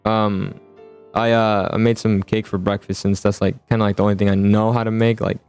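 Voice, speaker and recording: Low-voiced guy stammering through game roleplay. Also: little pitch movement, fairly quick, darkish tone, smooth. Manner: stammers, repeats words and phrases. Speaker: host of a roleplay gaming video. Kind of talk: casual improvised game roleplay chat. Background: music.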